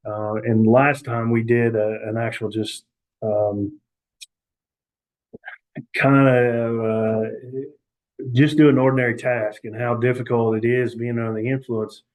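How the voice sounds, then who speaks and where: A man presenting on a webinar over a video call, halting with many fillers and restarts. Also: medium pitch, flat delivery, poor audio. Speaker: youth prevention educator.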